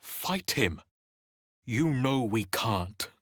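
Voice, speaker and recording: A man's voice: medium pitch, expressive, drawn-out words, fairly bright tone, husky, no room sound, studio quality, heavily acted. An actor voicing a scornful, bitter male vampire spawn in a dramatic video game style, sneering in clipped sentences. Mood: bitter, fearful.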